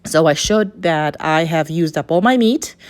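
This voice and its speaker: A woman's voice: low voice, moderate pace. A woman from Kazakhstan with a Kazakh accent gives a casual, hesitant, unscripted cooking voice-over, often stopping and restarting. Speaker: home cook presenting a recipe.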